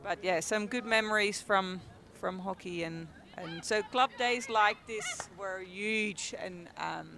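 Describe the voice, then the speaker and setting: Dutch-accented young woman, gravelly and bright, chatty guest commentator. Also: mid-range voice, takes it slowly, few pauses. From Netherlands. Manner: chatty, storytelling, frequent ums and laughs. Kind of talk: casual sports commentary. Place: outdoors at a field.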